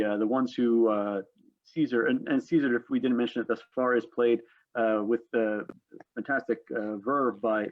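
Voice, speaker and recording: A man hosting a panel discussion, speaking in a halting, wordy way and often repeating his phrases. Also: mid-range voice, no echo. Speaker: panel host. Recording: dull, lo-fi call audio.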